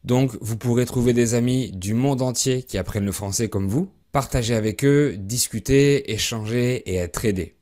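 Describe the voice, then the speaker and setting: A man from France hosting a podcast for French learners, speaking in a clear, measured way as he introduces and interviews a guest. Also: mid-range voice, mellow. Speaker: podcast host.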